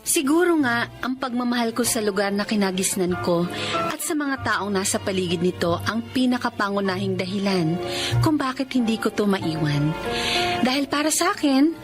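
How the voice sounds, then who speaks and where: A woman's voice: medium pitch, expressive, moderate pace, over loud music, lightly acted. A woman playing a kind, idealistic young teacher in a radio drama, speaking with earnest feeling. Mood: heartfelt. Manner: earnest, heartfelt.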